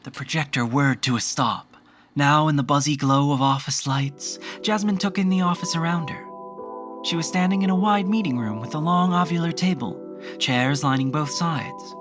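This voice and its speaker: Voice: mid-range voice, moderate pace, natural voice. A narrator reading scripted, descriptive scene-setting for an audio drama. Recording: music clearly behind the voice.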